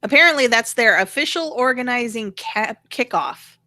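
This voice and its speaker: A woman's voice: a little high, animated, moderate pace, pushed and bright, dry recording, studio quality. A woman co-hosting a rant show, chatting casually and frankly with opinions and the odd swear word. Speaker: co-host of a political rant show.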